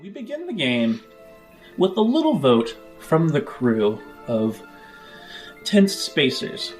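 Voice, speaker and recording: A man's voice: a little high, wildly swooping pitch, slow pace, faint music behind. A man hosting a tabletop role-playing show as its game master.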